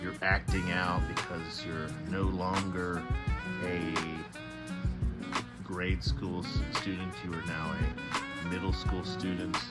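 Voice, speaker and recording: A man's voice: deep voice, little pitch movement, slow pace, loud music behind the voice. A man hosting a storybook read-aloud, reading with dramatic emphasis and adding casual commentary. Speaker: host reading books aloud.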